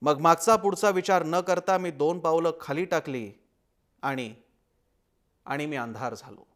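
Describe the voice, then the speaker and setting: Guy doing a dramatic book reading, voice a bit high, measured but expressive, leans into phrases. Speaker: presenter reading literature. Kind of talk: dramatic literary recitation, scripted.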